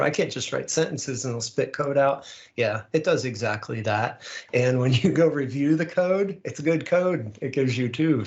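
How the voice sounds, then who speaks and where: A man hosts an online community call in a casual, upbeat style. His voice sits at a medium pitch and the audio is a little dull and lo-fi, as on a web call. He talks through news conversationally, like a presenter at ease. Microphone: online call microphone.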